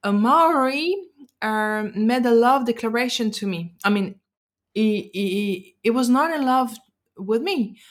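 A woman with a French accent, a guest on a casual podcast chat, often pausing and searching for words. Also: on the high side, expressive. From French and Algerian.

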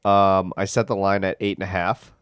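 A man's voice: sits low, little pitch movement, normal speed, clear, resonant tone, dry recording. A man co-hosting a podcast in casual chat, sounding stuffed up, laid-back and wry. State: stuffed up, congested. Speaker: podcast co-host.

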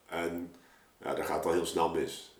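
A man's voice: sits low, little pitch movement, unhurried, heavy background noise. A man telling stories casually as a podcast guest, rambling and colloquial as he thinks aloud. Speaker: podcast guest, audio drama maker. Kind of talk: casual chat, informal storytelling. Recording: roomy, echoey space.

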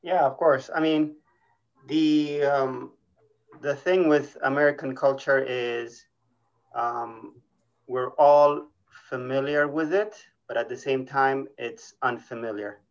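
A man from Eritrea, a guest on a podcast, speaking in a relaxed, thoughtful way and drawing out his words. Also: mid-range voice, slow pace. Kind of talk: casual interview. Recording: dull lo-fi audio.

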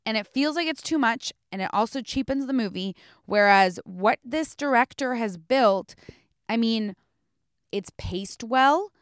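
A woman talks about a film she loved in an easy, emphatic podcast chat. Her voice sits a little high and comes through smooth and resonant. The recording is dry, with no room around her. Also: expressive, unhurried, dry recording. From North America. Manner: enthusiastic, emphatic. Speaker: podcast co-host. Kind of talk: casual film review chat.

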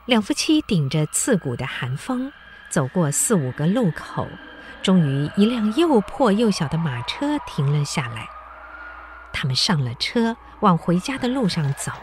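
A woman narrating a radio drama in an expressive, scripted storytelling style. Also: lowish voice, a little slow, a little hiss or hum.

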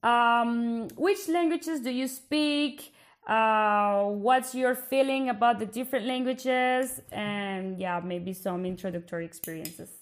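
A woman's voice: on the high side, takes it slowly. A young Colombian woman with a Spanish accent, co-hosting a podcast in a thoughtful, casual way with fillers. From Bogotá, Colombia. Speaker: podcast co-host. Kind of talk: casual chat.

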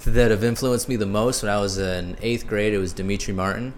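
Laid-back low-voiced young man. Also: steady tone, a little fast, over a noisy crowd. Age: 20s. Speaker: guest comedian. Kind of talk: casual podcast chat.

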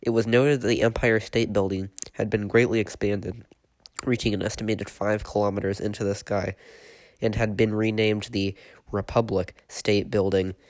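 Stuffy-voiced man, flat and low. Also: normal speed, no echo. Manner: steady, matter-of-fact reading with a few "uh"s. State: stuffy from a cold. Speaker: podcast host and narrator. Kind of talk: reading a written horror report.

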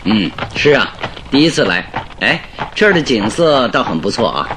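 A man's voice: medium pitch, very animated, normal speed, background noise, natural voice. A man acting a shrewd, self-assured detective in a radio drama, reasoning aloud in an expansive, conversational way. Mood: calm, self-assured.

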